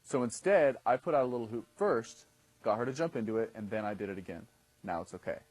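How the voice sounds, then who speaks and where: Husky-voiced man in his thirties teaching. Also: medium pitch, animated, quick pace, a little mellow, no echo, rough recording. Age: 30s. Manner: conversational, often checks in with "okay?". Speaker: dating coach instructor. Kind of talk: instructional talk to a class.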